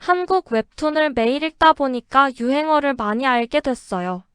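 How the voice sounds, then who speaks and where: A woman reading example sentences slowly and carefully for a shadowing lesson. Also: sits high, no echo, studio quality. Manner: careful, even, deliberate delivery. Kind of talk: slow, clear model-sentence reading for shadowing.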